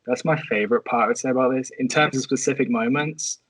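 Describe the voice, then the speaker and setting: A man's voice: medium pitch, fast, fairly bright tone, dry recording. Casual man, dry close sound. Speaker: podcast guest. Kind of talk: casual chat.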